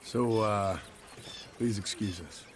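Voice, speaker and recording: An actor playing a sardonic American gunslinger in a western video game, making dry threats. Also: male voice, on the low side, slow pace, very noisy. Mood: sardonic, stern. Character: gruff, threatening, sardonic Old West gunslinger man. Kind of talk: video game cutscene acting.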